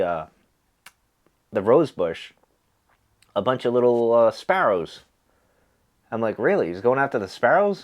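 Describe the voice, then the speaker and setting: A man's voice: fairly low pitch, darkish tone. Stuffy nasal man chatting casually. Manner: rambling, easygoing, many fillers and restarts. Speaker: pipe smoking video host. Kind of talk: casual chat.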